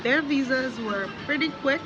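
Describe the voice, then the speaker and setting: A woman's voice: high voice, normal speed, highs cut off, heavy background noise. A woman guest in a casual interview, telling her story frankly and rambling a little with fillers. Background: background music.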